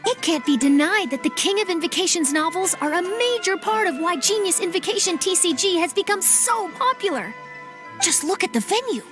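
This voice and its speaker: A woman's voice: extremely high pitch, lively intonation, brisk. A woman voicing an eager, talkative young journalist in a game cutscene. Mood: chatty. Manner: eager, chatty, flustered at times. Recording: game audio with music behind.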